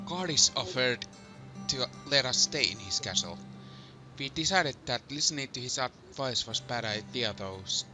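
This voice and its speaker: Man reading as measured young hero narrator over music. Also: mid-range voice, close to an everyday voice. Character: male champion hero narrating his quest in first person. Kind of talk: scripted visual novel narration. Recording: music behind the voice.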